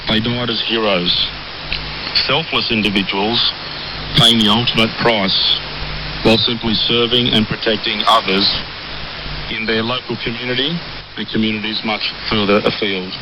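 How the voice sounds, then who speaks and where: A man's voice: on the low side, fairly even intonation, normal speed. Solemn man's tribute through hissy radio noise. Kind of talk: solemn formal tribute speech. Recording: hissy, noisy shortwave radio reception.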